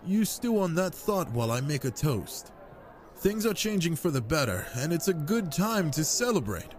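Man acting flirtatious wine god, genial, smooth-talking, expressive swooping delivery, intimate audio drama. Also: a little high, moderate pace, background noise. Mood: celebratory.

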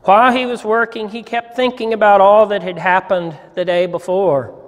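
A man in his sixties giving a deliberate, expressive dramatic reading of a story, with pauses, as a pastor in a church. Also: sits high, muffled recording. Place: church sanctuary, indoors. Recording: hall reverb in a church.

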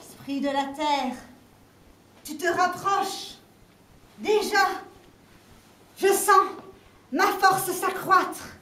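Casting: woman playing a world-weary scholar longing for magic, very high voice, slow solemn stage delivery. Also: pushed and bright, heavily acted. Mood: exalted. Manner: solemn, declamatory, deliberate. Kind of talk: theatrical dramatic monologue. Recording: echoey room, distant mic, faint noise.

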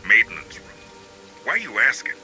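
An actor playing a cynical, streetwise squad adviser in a video game, talking in a sardonic, clipped way. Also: male voice, mid-range voice, wildly swooping pitch, fairly quick, creaky, bright, forceful tone, exaggerated voice. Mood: wry. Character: wry, streetwise male squad adviser, cynical rebel realist. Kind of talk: video game cutscene and mission dialogue acting. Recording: game music behind the voice.

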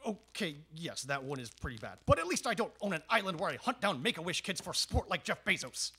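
Man acting cheating Santa, self-pitying, blustery, forceful, animated pitch, radio comedy. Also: a little fast, husky, full character voice. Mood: defensive. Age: young adult. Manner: melodramatic, excuse-making. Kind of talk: comic radio theater. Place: small studio stage, indoors. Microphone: boom-mounted studio mic.

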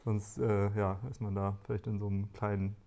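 A man in his forties talking in a relaxed interview about family life, thoughtful and full of small fillers and restarts, recorded on a lapel mic indoors. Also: fairly low pitch, a little fast, gravelly. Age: 40s. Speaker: interviewee, father on a shared farm. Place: bright living room indoors.

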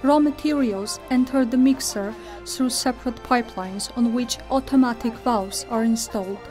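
A woman speaking formally and deliberately, presenting a company in a scripted corporate style. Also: a little high, darkish tone. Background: music bed.

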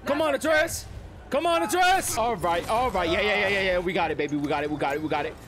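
A man reacts to a video game in a very high-pitched, wildly swinging voice. He is excitable, repeats short phrases over and over and tends to shout. Loud music plays behind him. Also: fast. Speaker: game streamer and video creator. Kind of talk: excited gameplay reaction commentary. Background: video game music and sound.

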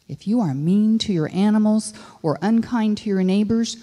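A woman's voice: mid-range voice, normal speed, mellow, lightly acted. Stern, fault-finding male water keeper, read by a woman, scolding, in a reverberant hall. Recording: long reverb of a large hall.

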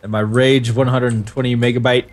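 A man's voice: medium pitch, flat delivery, fairly quick. A young man joking around playfully while playing a shooter game with a friend. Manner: goofy, playful, mock military callouts. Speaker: co-op gaming guest. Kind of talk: casual co-op gameplay chat.

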